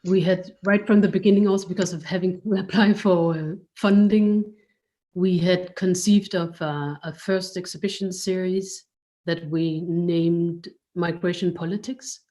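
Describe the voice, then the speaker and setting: Female curator as interview guest: unhurried, reflective, searching for words, relaxed lowish voice. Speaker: curator and art space director, guest.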